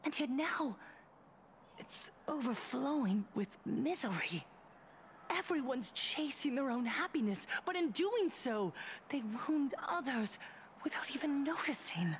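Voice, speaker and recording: Slow, high-voiced, fervent cult preacher. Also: female voice, expressive, slight background noise. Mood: wistful, solemn. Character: fervent female cult preacher of happiness and smiles. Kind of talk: theatrical game voice acting, sermon-like speech.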